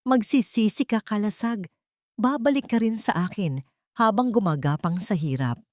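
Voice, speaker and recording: A woman's voice: mid-range voice, normal speed, darkish tone, dry recording, studio quality, pitched above the performer's own voice, close to an everyday voice. A woman voicing a domineering old patriarch in an audiobook, with an imperious, threatening manner. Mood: bitter menace. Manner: imperious, menacing.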